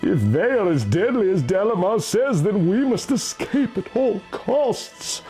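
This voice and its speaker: A man's voice: high-pitched, animated, unhurried, mellow, soft background music, voice pushed up. A man voicing a frightened woman adventurer in a fantasy story, with a dramatic, fearful delivery. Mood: anxious.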